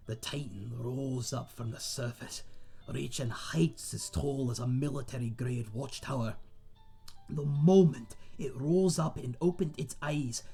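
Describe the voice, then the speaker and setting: A man's voice: medium pitch, dark tone, husky. A man playing a friendly, rambling tavern owner and seasoned adventurer, telling tales to one listener in a folksy, chatty way in a fantasy audio roleplay. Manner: chatty, folksy, dramatic pauses, calls listener mate.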